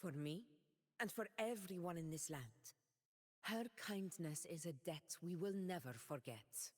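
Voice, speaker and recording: A woman voicing a proud noble former gladiator in a game, speaking in a measured, dignified way. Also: fairly low pitch, lively intonation, long reverb tail. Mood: wistful. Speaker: game character. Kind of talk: game character voice lines.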